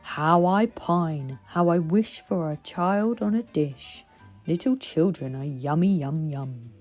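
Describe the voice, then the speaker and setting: A woman voicing a grumpy, hungry male giant from a children's storybook, with slow, grumbling menace. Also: low voice, lively intonation, a little mellow, soft background music, full character voice. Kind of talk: storybook character voice.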